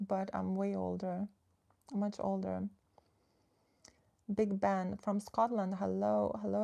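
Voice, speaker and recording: Relationship coach, woman from the Netherlands: low gravelly edge, mellow tone, chatty and direct livestream host. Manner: chatty and direct, reads viewer comments aloud, coaching advice. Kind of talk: casual livestream chat with viewers.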